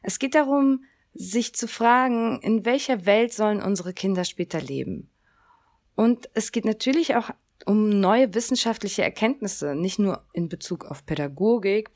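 A woman in her thirties from Germany hosting a solo podcast, talking in an earnest, gentle way with the odd um. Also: mid-range voice. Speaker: podcast host.

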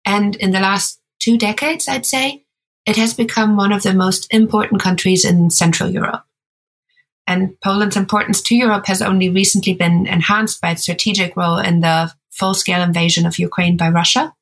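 A woman co-hosting a podcast, speaking in a measured, explanatory way. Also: mid-range voice, steady tone. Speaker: podcast co-host. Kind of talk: scripted podcast narration.

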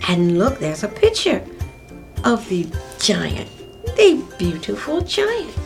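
A woman hosting story time, reading aloud to young children with exclamatory, drawn-out phrasing and repeated words for emphasis. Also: medium pitch, wildly swooping pitch, vocal fry, soft background music.

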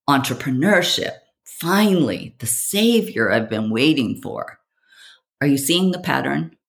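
A woman delivering a scripted motivational monologue as a podcast host, with theatrical storytelling, rhetorical questions and dramatic pauses. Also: extremely low pitch.

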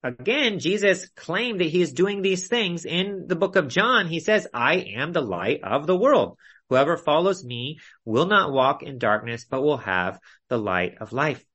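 A man delivering an expository Bible study sermon as a preacher, speaking deliberately in short, emphatic phrases. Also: a little high, very animated, a bit bright, slightly rough. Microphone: video call.

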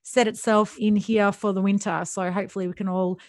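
A woman's voice: mid-range voice, level tone, a little fast, no room sound. Upbeat woman hosting a podcast, dry sound. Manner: upbeat and agreeable, with fillers. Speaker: podcast host. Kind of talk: interview hosting.

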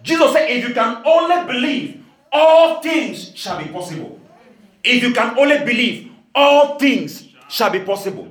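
A man's voice: very high-pitched, expressive, unhurried. Pastor from Cameroon, male, emphatic sermon delivery, echoey church hall. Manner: emphatic preaching, laughing asides, rising to shouts.

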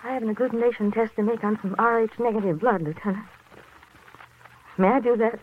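An actress playing a cool, guarded doctor's nurse, clipped and formal in 1940s radio drama style. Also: female voice, on the high side, fairly even intonation, fairly quick, slight background noise, close to an everyday voice. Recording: thin, phone-like vintage radio recording.

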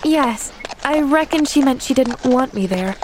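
A woman's voice: high voice, animated, a little slow, background noise, voice pushed up. A woman voicing an eager, sweet, chatty little girl in a storybook, earnest and exclamatory. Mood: wistful. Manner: earnest, exclamatory, hesitant when troubled.

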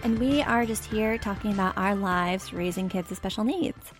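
A woman in her thirties co-hosting a podcast, chatting casually with a hoarse, scratchy voice and long, rambling thoughts. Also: mid-range voice, normal speed, music in the background. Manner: chatty, talks in long running thoughts with many fillers. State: hoarse, scratchy voice after a loud party. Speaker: podcast co-host. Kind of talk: casual chat.

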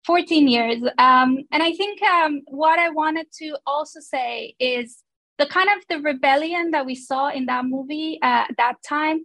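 High-voiced woman from Iran. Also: unhurried, a bit bright, no echo. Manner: thoughtful, frequent um and uh. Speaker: guest, company founder. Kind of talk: casual interview.

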